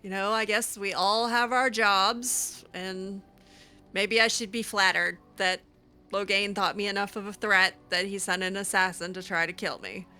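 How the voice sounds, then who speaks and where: A woman's voice: medium pitch, fairly even intonation, moderate pace, bright, forceful tone. A woman improvising in character over a video game, conversational and hesitant, often trailing off. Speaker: roleplay game streamer.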